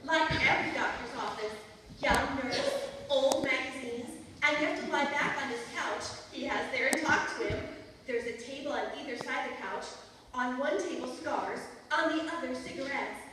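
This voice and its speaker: High-voiced scatterbrained wife played by a woman, echoey hall. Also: moderate pace, close to an everyday voice. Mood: chatty, confiding. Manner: earnest, muddles words and sayings. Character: scatterbrained, chatty married woman in a vintage radio comedy. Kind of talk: old-time radio comedy acting. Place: college radio theatre stage performance. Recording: echoey hall from far off, faint noise.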